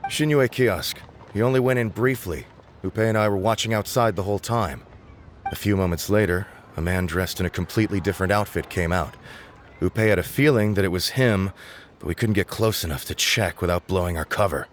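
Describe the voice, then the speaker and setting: An actor playing an earnest, dutiful agent in a video game, reporting in a serious, deferential way. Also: male voice, low voice, a little fast, slight background noise, lightly acted. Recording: music playing underneath.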